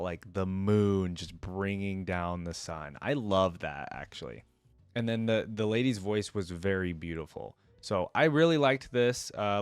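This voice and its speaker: A man's voice: lowish voice, dry recording. A man giving casual, appreciative reaction commentary as a video host, in informal slangy fan talk. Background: faint music.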